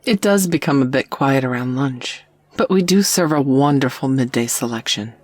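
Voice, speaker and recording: Waitress for audio drama, played by a woman: deep female voice, breathy husk, courteous, friendly, attentive. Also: lively intonation, moderate pace, dry recording. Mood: polite, friendly. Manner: courteous, chatty, soothing. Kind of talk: scripted audio roleplay drama.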